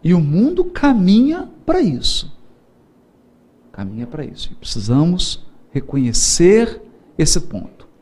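A man giving a religious lecture, humorous and animated, repeating key words for emphasis. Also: high voice, a little slow. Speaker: Spiritist lecturer.

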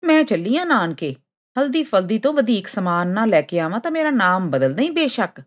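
A haughty storybook girl made of ginger root, acted out by a woman narrator. She pitches her voice up, scolds indignantly and boasts of her high station with open scorn. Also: sing-song, brisk. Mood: defiant.

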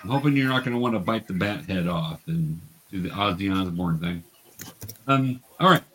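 A man's voice: low-pitched, lively intonation, normal speed, a bit bright, slight background noise. A man acting as game master, patiently explaining rules and narrating a tabletop fantasy game.

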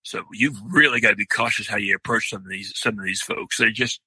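An older man with a deep, loud voice, talking slowly in a podcast interview with many pauses and restarts. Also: husky, no echo, poor audio. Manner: slow, halting, many fillers and restarts. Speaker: guest, outdoor writer.

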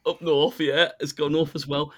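A man's voice: a little high, flat delivery, moderate pace. A man who grew up in northern England, a podcast guest talking in friendly casual chat and laughing often.

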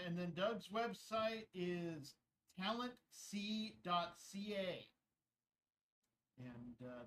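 A man hosting a casual podcast chat, rambling with many filler pauses as he wraps up. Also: high voice, a bit bright, a little hiss or hum, poor audio. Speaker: podcast host.

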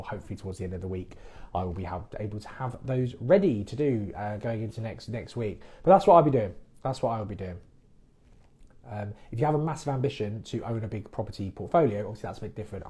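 A man's voice: on the low side, warm tone. A man hosting a casual podcast, answering listeners' mortgage questions in a chatty, plain-spoken way. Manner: chatty, explains things plainly. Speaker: mortgage broker and podcast host. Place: based in the Midlands.

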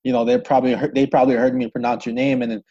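A man hosts a podcast, his voice mellow and dark in tone at a middle pitch. He interviews his guest in a loose, easygoing way, leaning on "you know" as he shapes his questions. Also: level tone, rapid-fire, dull audio. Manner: friendly, loose, many "you know" fillers. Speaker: podcast host.

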